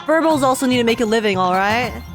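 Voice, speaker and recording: A woman's voice: on the high side, animated, quick pace, over music. A young woman live-streaming a game with casual, slangy reactions, expressively repeating phrases for effect.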